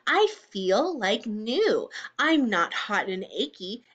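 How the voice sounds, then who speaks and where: A woman voicing a friendly, grateful bear from a children's picture book, with expressive, simple delivery. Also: mid-range voice, slow pace, highs cut off, heavily acted. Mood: joyful. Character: big friendly storybook bear, grateful and caring.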